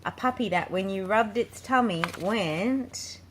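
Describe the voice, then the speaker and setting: A woman's voice: medium pitch, a little slow. A woman reading a picture book aloud to children as a storybook narrator. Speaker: children's book reader and channel host. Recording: clearly noisy home recording.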